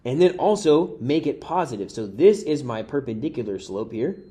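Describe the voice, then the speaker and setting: A man's voice: medium pitch, very animated, normal speed, mellow. A man teaching math in a casual, chatty way, walking through worked examples while his voice is wearing out. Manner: casual, chatty, addresses viewers as guys. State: losing his voice. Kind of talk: worked-example exam review.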